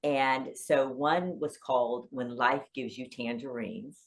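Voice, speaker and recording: Lively woman podcast guest, low voice, storyteller who bursts higher when excited, from rural South Carolina. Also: slow pace. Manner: animated storyteller, pitch jumps high when excited. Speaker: family law attorney, guest. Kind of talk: storytelling in casual interview.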